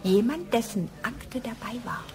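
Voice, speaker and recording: An actress playing a discreet, confiding secretary who speaks hesitantly in a German radio crime drama. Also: female voice, fairly high pitch, animated, a little slow. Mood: hesitant, secretive. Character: discreet office secretary, confiding, apologetic, gossipy woman.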